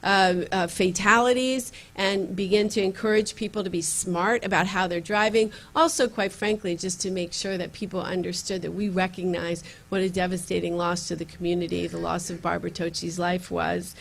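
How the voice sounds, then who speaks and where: A woman with a slightly low voice speaks to a community meeting in an earnest tone. She pauses on ums and uhs and restarts her sentences. The sound is a plain meeting-room recording. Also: fairly even intonation, creaky. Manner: earnest, with frequent fillers and restarts. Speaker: county legislator. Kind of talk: campaign speech at community meeting.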